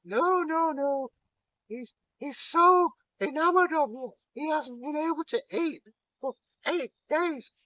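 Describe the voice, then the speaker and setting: A man voicing a sly male trickster god, chummy and smooth-talking. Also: extremely high pitch, slow, bright, forceful tone, dry recording, pitched above the performer's own voice, full character voice, rough recording. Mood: flattering.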